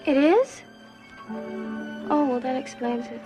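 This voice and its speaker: An actress playing a sweet, naive young princess in a fairy-tale drama, earnest and wide-eyed. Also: female voice, sits high, very animated, takes it slowly, exaggerated voice. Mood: shy wonder. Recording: music playing under dialogue.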